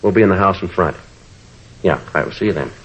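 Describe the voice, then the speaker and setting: An actor playing a terse, polite, unflappable police sergeant in a 1950s radio drama, deadpan and clipped. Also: male voice, low-pitched, fast talker, background noise, close to an everyday voice. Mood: brisk, businesslike. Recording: dull old radio recording.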